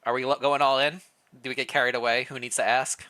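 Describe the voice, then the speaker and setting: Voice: medium pitch, little pitch movement, fast, sharp tone. A playful streamer reading a story aloud live, mixing narration with jokey casual chat.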